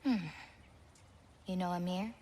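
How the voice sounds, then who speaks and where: A woman playing a gentle, self-reliant teenage giantess in a video game, speaking in a natural, expressive, conversational way. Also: lowish voice, slow pace. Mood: solemn.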